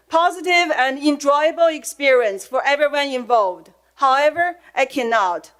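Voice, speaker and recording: A woman's voice: high-pitched, fairly bright tone, clean tone. A middle-aged woman with a Chinese accent, giving an earnest, emotional prepared comment at a public school board meeting.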